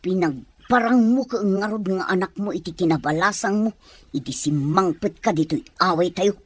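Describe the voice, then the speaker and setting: A woman's voice: on the low side, normal speed, close to an everyday voice. A woman playing a resentful, questioning sister in a radio drama. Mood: probing, reproachful.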